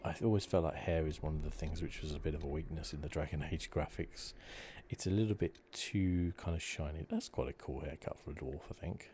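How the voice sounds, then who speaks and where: A man giving casual ASMR commentary on a game playthrough in a hushed, rambling, musing manner. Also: rumbling low, a little fast, few pauses, a little hiss or hum. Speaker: gaming video host.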